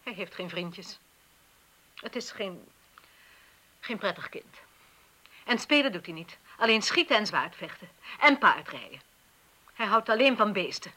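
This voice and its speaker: Snobbish Dutch mother, radio play, self-absorbed, defensive, sharp bright voice, animated. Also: mid-range voice, measured pace, pauses often, rough recording. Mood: cool, defensive. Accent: Netherlands Dutch. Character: haughty, self-absorbed divorced mother and government official. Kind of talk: Dutch radio drama acting.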